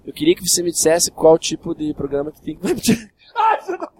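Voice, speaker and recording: A man's voice: on the high side, wildly swooping pitch, a little fast, background noise. A man with a Brazilian accent chatting casually and jokingly as a comedy podcast panelist. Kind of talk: casual joking group chat.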